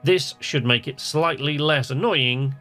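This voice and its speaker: A man's voice: a little high, unhurried, sharp tone, faint music behind. A man giving casual let's-play commentary, chatty and hesitant as he thinks aloud and reads game text. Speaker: host of a video game channel.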